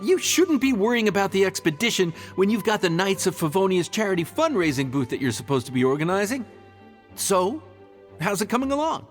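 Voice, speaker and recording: An actor playing a chatty, bold young townsman who jokes and changes tack quickly. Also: high-pitched, a bit bright.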